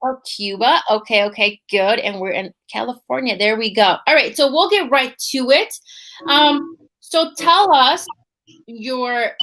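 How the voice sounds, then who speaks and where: A woman's voice: a little high, unhurried, fairly bright tone, low-quality recording. A woman hosting a faith podcast in a casual, talkative way, often tagging her sentences with "right?". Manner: chatty, often tags lines with "right?". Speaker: podcast host.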